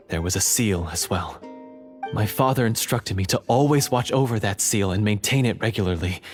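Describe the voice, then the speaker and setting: A man's voice: lowish voice, close to an everyday voice. Man acting a troubled, earnest young game character. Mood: grave. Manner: earnest, halting, dramatic. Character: troubled young male hunter's heir, dramatic and bitter.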